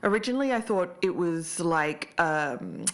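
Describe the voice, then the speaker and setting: A woman acting as a sceptical podcast investigator with a notably deep voice. She talks in a measured, cautious way as a co-host weighing evidence. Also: animated.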